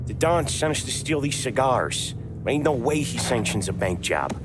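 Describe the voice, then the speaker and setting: An actor playing a stern, loyal gangster in a crime video game, with a gruff, commanding, clipped tough-guy delivery. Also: medium pitch, expressive, moderate pace, noisy background, lightly acted. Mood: stern, irritated. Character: blunt, stern male gangster, loyal enforcer of the boss. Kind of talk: cinematic crime game acting.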